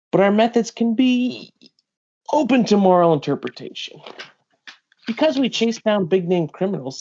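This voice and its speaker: A man reading a big, seasoned pirate bounty hunter from a comic, wry, measured and explanatory. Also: a little high, a little mellow, dull audio.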